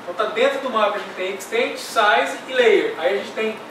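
A man's voice: sits high, moderate pace, fairly bright tone. A middle-aged man teaching a technical workshop, explaining step by step and checking in with "tá?". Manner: explains step by step, ends phrases with "tá?". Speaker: workshop instructor. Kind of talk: technical classroom lecture. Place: classroom with projector screen. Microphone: far camera. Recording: echoey room from far off, noisy.

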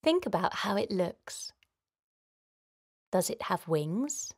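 A woman leading a guided relaxation for children in a gentle, soothing manner, giving simple instructions with pauses. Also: mid-range voice, sing-song. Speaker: children's guided meditation host.

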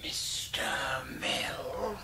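An actor playing a pompous, bullying schoolmaster in a period radio drama, with a hoarse English voice and mock-polite, domineering manner. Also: male voice, very slow. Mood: icy menace. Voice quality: hoarse whispering voice. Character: menacing male schoolmaster, pompous bully with sarcastic courtesy.

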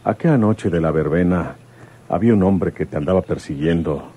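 A man playing an earnest, plain-spoken police commissioner in love, in a radio soap opera, with a husky voice. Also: deep voice, moderate pace, phone-quality sound. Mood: tender, earnest. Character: older rural police commissioner, earnest devoted suitor. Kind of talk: Spanish-language radio soap opera acting.

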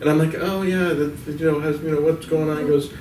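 A man joining a casual office talk show, relaxed and teasing, often repeating himself. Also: a little high, fast. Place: office lounge set with sofas. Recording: roomy, distant mic with faint noise.

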